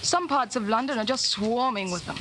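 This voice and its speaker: An actress playing a bold, practical young rebel who is a tender lover, brisk and intimate in 1940s radio drama style. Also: female voice, a little high, close to an everyday voice. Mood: disgusted. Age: young adult. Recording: noisy old 1940s radio recording.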